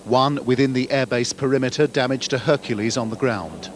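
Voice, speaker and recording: A man's voice: medium pitch, a little fast. A British man narrating a scripted television news report as a reporter. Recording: archive TV report, noisy outdoor ambience.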